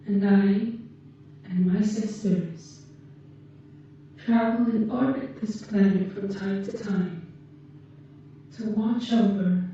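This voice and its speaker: Woman playing a dark, soft-toned, slow alien caretaker. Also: mid-range voice. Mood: calm, matter-of-fact. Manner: slow, gentle, measured, formal wording. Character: calm, caring female alien from Venus tending a patient. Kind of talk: ASMR roleplay, scripted monologue to the listener. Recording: muffled, echoey, distant, faint noise.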